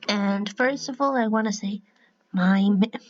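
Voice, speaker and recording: A woman's voice: mid-range voice, a little slow. A woman with a Spanish accent, a native Spanish speaker, explains a drawing style in a casual tutorial, hesitant, with many restarts and apologies. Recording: dull lo-fi sound with faint music.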